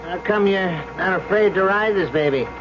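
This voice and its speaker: An actor playing a breezy, familiar, needling cable car operator in a radio drama. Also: male voice, high-pitched, normal speed. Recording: dull noisy old radio recording.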